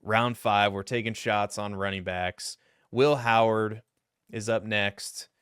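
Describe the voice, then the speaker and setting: A young man with a low, deep voice that cracks upward now and then. He talks through draft picks in a casual, rambling way, full of self-deprecating asides. His voice sounds smooth and clear, recorded dry and close with no room echo. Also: steady tone, slow pace, a bit bright. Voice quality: deep voice that sometimes cracks high. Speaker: fantasy football YouTuber. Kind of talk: solo mock draft commentary.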